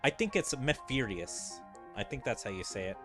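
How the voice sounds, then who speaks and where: A man with a mid-pitched voice streams a game and comments casually. He muses and speculates aloud in a loose, conversational way. Game music plays behind him. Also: sing-song, moderate pace. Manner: musing, speculative. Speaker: gaming streamer.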